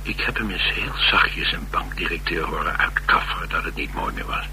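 Very deep, sharp-voiced Dutch chauffeur. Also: male voice, normal speed, heavily acted. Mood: sardonic storytelling. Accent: Netherlands Dutch. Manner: sardonic, colloquial, confiding. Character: blunt, world-weary male chauffeur and streetwise fighter. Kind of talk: radio drama acting, colloquial storytelling. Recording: radio drama with faint hum and noise.

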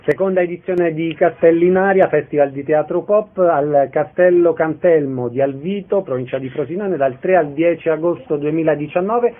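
A man's voice: on the high side, moderate pace, hardly any pauses. A man hosting a radio interview programme, delivering prepared introductions and questions to guests. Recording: narrow-band, tinny radio sound.

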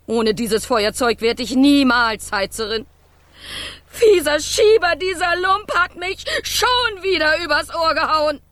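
A woman's voice: high voice, fairly bright tone, heavily acted. A woman playing a feisty, scornful would-be ship's stoker in a children's radio play, blunt and grumbling, with dramatic exclamations. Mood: dismayed.

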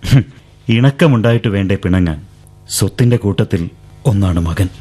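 A man's voice: lowish voice, animated, normal speed, mellow, slightly rough. An actor playing a young man urging the woman he loves to leave with him, in a radio drama. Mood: pleading. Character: young man pleading with his beloved, earnest suitor. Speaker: lead character. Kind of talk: 1970s radio drama acting. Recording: old radio broadcast with faint music.

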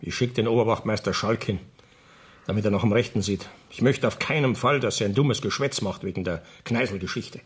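An actor playing a reassuring, official police commissioner in a radio play. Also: male voice, on the low side, breathy edge. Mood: placating. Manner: measured, official, placating. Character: smooth-talking, reassuring male police commissioner. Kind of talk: 1970s German radio play acting.

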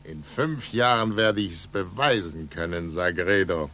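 An actor playing a fervent, stubborn scientist and mentor in a 1940s German radio drama, with theatrical, emphatic delivery. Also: male voice, sits low, unhurried. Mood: patient, intent. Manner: theatrical, emphatic, swinging from hushed to exultant.

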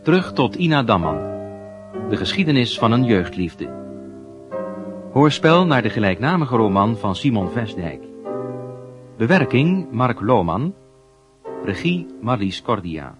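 Male announcer, medium pitch, lively intonation, scripted title reading, piano music behind. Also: a little slow, long pauses. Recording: piano music clearly under the voice.

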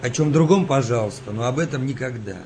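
An actor playing a steady, protective, plain-spoken wartime sailor in a Russian radio drama. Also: male voice, medium pitch, quick pace, natural voice. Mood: weary, grim. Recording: music behind the voice.

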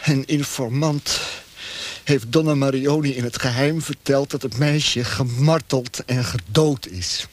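A man's voice: mid-range voice, measured pace, lightly acted. An actor playing a subdued, deferential male prisoner in a radio drama. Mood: grave, deferential.

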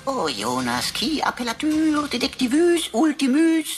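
Quirky know-it-all computer, sci-fi radio play: high, wildly swooping, florid and fussy. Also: female voice, wildly swooping pitch, measured pace, faint music behind, exaggerated voice. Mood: mock-learned. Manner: mock-learned, florid, fussy. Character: pedantic, quirky computer sidekick spouting Latin and flowery phrases. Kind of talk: comic sci-fi radio drama acting.

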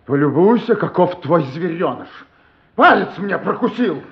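A man's voice: high-pitched, sing-song, a little slow, echoey room, lo-fi sound, heavily acted. An actor playing a stern, wrathful father who speaks formally, with scorn and menace, in a radio drama. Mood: furious.

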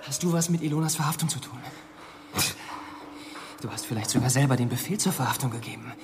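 Desperate accuser in deep reverb. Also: female voice, quick pace, background noise. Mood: desperate, accusing. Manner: urgent, insistent. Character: desperate, accusing interrogator in anguish. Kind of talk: radio drama dialogue. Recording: long cavernous reverb. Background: music.

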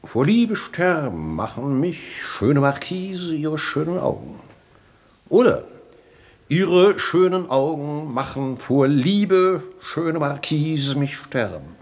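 Husky pedantic philosophy tutor, very animated. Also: male voice, on the high side, full character voice. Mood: declaiming, obliging. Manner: didactic, measured, ornately declaiming. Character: pedantic, flattering male philosophy tutor, solemn and self-important. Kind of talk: 1960s German radio comedy acting.

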